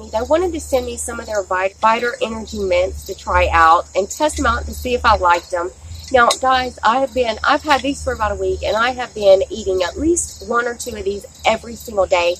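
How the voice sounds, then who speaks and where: A woman's voice: on the high side, fairly bright tone. A woman, chatty and enthusiastic, reviewing makeup in her backyard, her voice a little scratchy from a sore throat. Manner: chatty, enthusiastic, says "y'all" and "guys" often. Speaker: beauty vlogger. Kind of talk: casual product review. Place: outdoors in a backyard. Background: crickets and insects, barking dogs.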